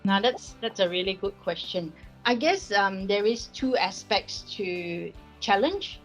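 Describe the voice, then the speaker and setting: A woman's voice: mid-range voice, expressive, measured pace, faint music behind, poor audio. A woman from Singapore with a Singaporean accent, talking casually as a risk advisory partner in a workplace interview. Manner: friendly, conversational with fillers.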